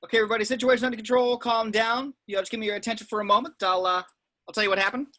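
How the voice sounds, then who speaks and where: A man's voice: sits high, steady tone, racing, bright, forceful tone. A man acting a brusque, sardonic, seasoned secret agent in an amateur script read, clipped and authoritative. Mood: controlled, authoritative. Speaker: amateur actor at a table read. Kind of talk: animated script read-through.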